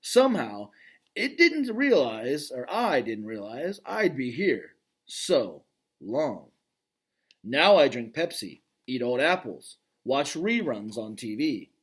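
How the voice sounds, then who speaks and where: A man reading a children's novel aloud as its gentle, thoughtful male gorilla narrator, in a calm, reflective way. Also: medium pitch, slow, husky, heavily acted. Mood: wistful.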